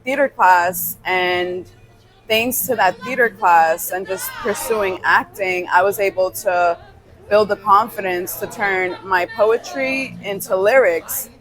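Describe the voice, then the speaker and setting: A young woman from New York whose first language is Spanish, telling her story as a podcast guest in a relaxed way and laughing easily. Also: medium pitch, slow, poor audio. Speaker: guest musician. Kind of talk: casual interview talk.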